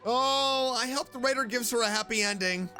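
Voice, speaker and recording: A man streaming a game, reading story text aloud in an expressive way and reacting to it. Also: extremely high pitch, moderate pace, over music. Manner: expressive, animated.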